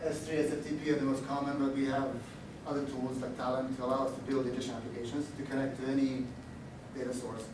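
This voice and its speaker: A man with a Romanian accent giving a technical conference talk, halting with many fillers and restarts. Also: mid-range voice, level tone. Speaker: conference speaker. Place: large echoey conference room. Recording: echoey room audio, distant mic, background noise.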